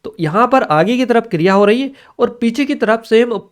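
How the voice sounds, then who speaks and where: A man with a distinctly high-pitched voice teaches an exam review. He presents questions and their answers in an explanatory, instructional style. He leans on repeated set phrases and checks that listeners are following. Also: expressive, normal speed. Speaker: exam-prep teacher and channel host.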